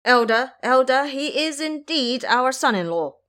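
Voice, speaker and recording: A woman playing a brash, bossy male monkey disciple with a sharp, scolding, emphatic manner in an audio drama. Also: high-pitched, animated, measured pace, pitched above the performer's own voice. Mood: triumphant.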